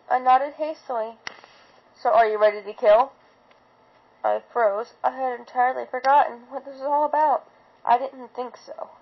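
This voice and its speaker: A woman's voice: sits high, muffled recording. A woman reading a first-person fan fiction story aloud with dramatic narration.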